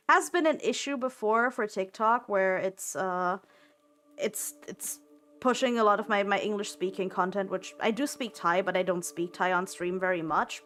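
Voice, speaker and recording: A woman's voice: mid-range voice, fairly bright tone. A young woman streaming, chatting casually while she plays, repeating words and filling gaps with ums and likes. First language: German. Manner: rambling, repeats words, many fillers.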